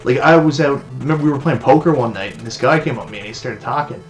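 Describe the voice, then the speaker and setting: A man from Newfoundland chats through a live stream while playing a retro game, his voice sitting in an ordinary middle pitch. He gets frustrated at the game and snaps at it now and then. Faint game music runs underneath. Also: fast. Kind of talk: casual live-stream chat.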